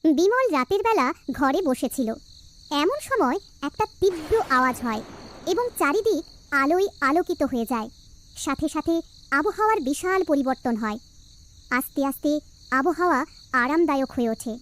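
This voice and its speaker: Very high-pitched West Bengal woman storyteller. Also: expressive, moderate pace. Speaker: narrator. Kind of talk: scripted children's story narration.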